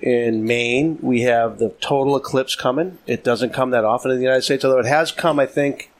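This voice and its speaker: A man's voice: mid-range voice, moderate pace. A middle-aged man with a Boston accent, chatting and teasing as a podcast panelist in a cigar lounge. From New England. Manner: joking, teasing, rambling. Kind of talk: casual chat.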